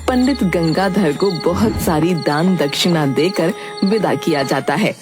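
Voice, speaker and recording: Low-pitched woman narrating a cartoon. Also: unhurried. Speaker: story narrator. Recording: music behind the voice.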